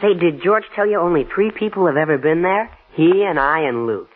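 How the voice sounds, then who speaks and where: A man's voice: a little high, animated, a little fast, slightly rough. An actor playing an eager, shy teenage boy in a 1940s radio drama, gushing and slightly stammering. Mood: boyish, chatty. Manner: boyish, gushing, hesitant stammer. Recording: muffled, tinny 1940s radio broadcast.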